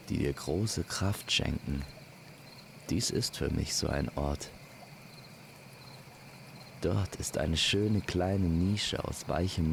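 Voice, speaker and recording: Man, very low gravelly voice, gentle poetic campfire storytelling, crickets and night ambience behind. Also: background noise. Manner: slow, gentle, poetic delivery. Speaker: storyteller, narrator. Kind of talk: immersive scripted campfire storytelling addressed to the listener. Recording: constant night insect and nature ambience. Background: crickets and forest night sounds.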